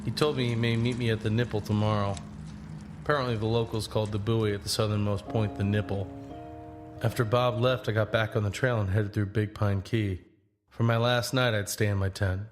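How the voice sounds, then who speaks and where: An actor playing a young long-distance traveler, narrating in the first person in an understated, introspective way for an audio drama. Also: male voice, lowish voice, steady tone, fairly quick, lightly acted. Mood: reflective. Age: young adult. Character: reflective young long-distance walker telling his journey. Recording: prominent music bed under the voice.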